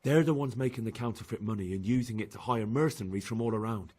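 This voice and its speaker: A man's voice: on the low side, quick pace, darkish tone, slightly rough. An actor playing an earnest young man in a video game cutscene, a captive turned messenger. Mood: earnest, grave.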